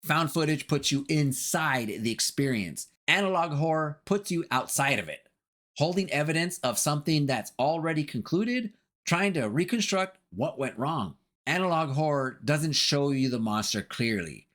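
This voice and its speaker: Man narrating, lively swooping pitch. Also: moderate pace. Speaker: video essay narrator. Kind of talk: scripted narration analyzing horror.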